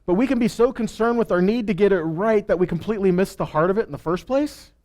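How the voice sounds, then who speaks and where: A man's voice: sits high, fast talker, a little mellow. A man giving an informal sermon as a Bible teacher, emphatic and often checking in with listeners through short rhetorical questions. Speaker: preacher and Torah teacher.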